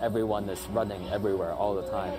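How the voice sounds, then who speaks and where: A man, speaking English in a thoughtful documentary interview with some hesitant pauses. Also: fairly low pitch, fairly even intonation. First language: Cantonese. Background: background music.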